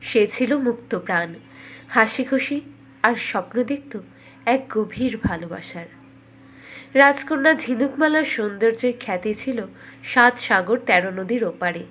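High-voiced woman narrating a fairytale. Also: animated, a little slow, a bit bright, a little hiss or hum. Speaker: storyteller narrator. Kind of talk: scripted fairytale narration for a cartoon.

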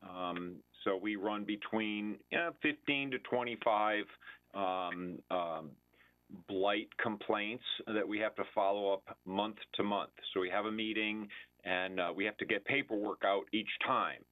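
A man speaking at a public budget hearing, halting with many fillers as he explains his department's needs over a video call. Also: fairly low pitch. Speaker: building department official. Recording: tinny phone-like line.

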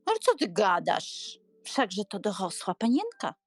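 A woman playing a doting, scheming elderly aristocratic aunt in an audiobook drama, coaxing and expressive. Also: medium pitch, measured pace, breathy edge, dry recording, full character voice. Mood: prodding, indignant.

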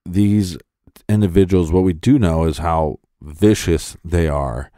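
Very deep, mellow-voiced man. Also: steady tone, no echo, studio quality. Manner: brief measured replies and backchannels. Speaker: podcast co-host. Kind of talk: casual true crime discussion.

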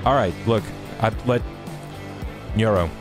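A man roleplaying casually as a tabletop game player in a halting, stammering way. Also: fairly low pitch. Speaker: player in an actual play podcast. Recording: music clearly behind voice.

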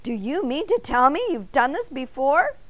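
Woman voicing an excitable, high-pitched little donut. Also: moderate pace, no echo. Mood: indignant. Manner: dramatic, exclaiming, extra polite when pleading. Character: excitable, indignant little talking donut, male, polite but dramatic. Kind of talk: children's storybook character voice.

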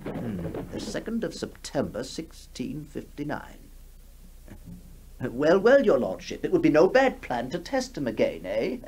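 A man's voice: a little high, wildly swooping pitch, measured pace, a little mellow, slight background noise, exaggerated voice. A man playing an imperious, hearty, impatient country squire in a radio drama, commanding and dismissive. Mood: jovial, curious. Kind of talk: 1960s radio drama acting.

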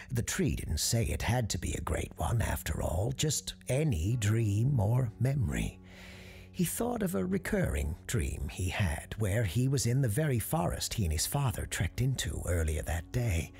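A woman tells a scripted ghost story, her delivery hushed and often slipping into a whisper. Her voice is deep and gravelly and moves through a wide pitch range. Faint music plays beneath her. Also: big pitch swings, moderate pace. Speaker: narrator.

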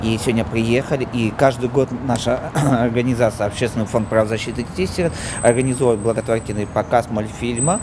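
Male charity spokesman, thirties, mid-pitched, halting interview delivery, clear background noise. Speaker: charity foundation representative. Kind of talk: on-camera news interview. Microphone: handheld reporter microphone. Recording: clear urban ambient noise.

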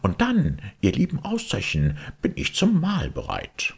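A man plays a smooth-talking storybook walrus full of false sympathy. The voice is husky and swoops widely, coaxing gently and unctuously. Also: a little high, huge pitch swings, unhurried, pitched above the performer's own voice, heavily acted. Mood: greedy, friendly.

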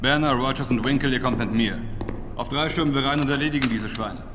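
An actor playing a tough, no-nonsense military captain in an audio drama, curt and commanding. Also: male voice, mid-range voice, fast, over music, close to an everyday voice. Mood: commanding, tense. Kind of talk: German audio drama acting.

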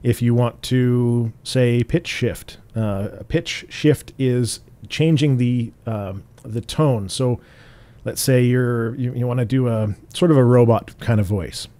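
A man with a bassy voice walks through software steps in a relaxed, explanatory way, with fillers and restarts. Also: takes it slowly. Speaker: tutorial host. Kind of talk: screen-recorded software tutorial.

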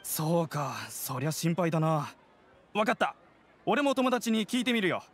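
Chill friendly merchant, lively voice. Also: male voice, fairly high pitch, moderate pace, faint music behind. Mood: easygoing. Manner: easygoing, helpful chat. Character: friendly young male merchant.

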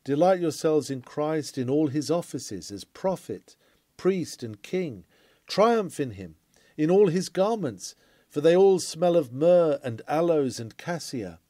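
A man reads a classic sermon in a fairly high, mellow voice. His delivery is formal and oratorical, full of earnest declamation. The recording is dry and close, with little room sound. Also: animated, unhurried, no room sound. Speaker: sermon reader.

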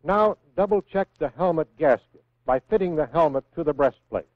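An American man reading scripted instructions in a measured, clearly enunciated way, as the narrator of a 1960s military training film. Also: a little high, animated, no echo. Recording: phone-like vintage 1960s film soundtrack.

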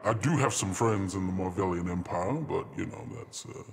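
A chatty, evasive trickster spirit from a tabletop roleplay, acted by a man. The voice sits fairly low and trails a long reverb. He is courteous and hesitant, forever hedging his way around an answer. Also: moderate pace, gravelly. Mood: evasive, reassuring. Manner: hesitant, rambling, politely hedging.

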